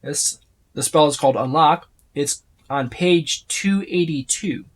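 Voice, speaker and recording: The voice belongs to a tabletop game reviewer giving an unscripted overview. It sits at a middling pitch. The delivery is casual and rambling, circling back and listing items as it goes. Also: unhurried. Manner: rambling, repeats and lists items.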